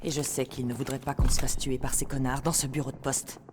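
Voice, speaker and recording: A woman's voice: extremely low pitch, level tone, brisk, background noise. A woman acting a determined young Soviet sniper in a dramatic French game dub. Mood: grave resolve. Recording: loud music underneath.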